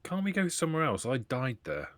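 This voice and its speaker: A man's voice: mid-range voice, wildly swooping pitch, a little fast, no echo. A man chatting bluntly and grumbling a little as a player in a tabletop roleplaying game. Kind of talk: casual in-character game talk.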